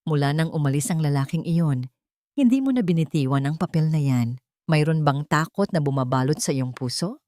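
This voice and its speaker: A wise, soothing older mother from an audio drama, voiced by a woman narrator. She speaks calmly and gently, in measured phrases, with a dry, close sound. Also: low voice, no echo. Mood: calm concern. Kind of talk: Tagalog audio drama acting.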